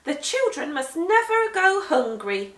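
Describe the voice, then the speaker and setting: A woman's voice: high voice, sing-song, a little slow, a bit bright, voice pushed up, exaggerated voice. A kindly foster mother from a children's storybook, acted out by a woman reading aloud. She speaks in a lifted, motherly voice with big swoops and strong emphasis. The sound is roomy and a little distant. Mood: firm, caring. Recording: roomy echo, distant microphone.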